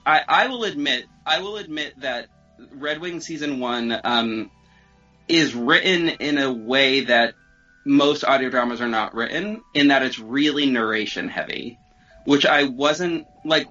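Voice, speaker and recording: A man's voice: mid-range voice, pushed and bright, dull audio. A man chatting casually as a podcast guest who makes audio drama, rambling in an informal, self-deprecating way. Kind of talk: casual chat.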